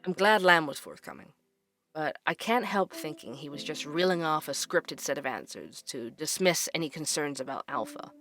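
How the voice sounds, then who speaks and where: A man's voice: a little high, lively intonation, moderate pace, bright, forceful tone, faint music behind. An actor playing a probing, skeptical male investigative host in an audio drama, with hesitant but pointed questioning. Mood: reflective, doubtful. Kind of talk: audio drama interview and narration.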